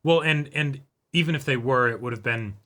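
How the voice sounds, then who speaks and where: Male, medium pitch, bright and punchy tone. Casual discussion co-host who feels his way through each point. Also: a little fast. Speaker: co-host of an anime reaction show.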